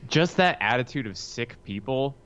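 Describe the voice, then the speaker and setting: A man's voice: mid-range voice, lively intonation, moderate pace, a bit bright. Man chatting casually on dull, lo-fi audio. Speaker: podcast co-host. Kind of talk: casual chat. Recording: dull lo-fi sound, music behind.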